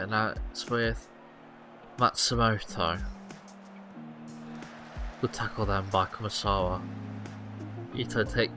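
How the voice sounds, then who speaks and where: A young man casually narrating a football management game, rambling play-by-play with fillers and restarts. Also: on the low side. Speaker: gaming youtuber. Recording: music playing behind.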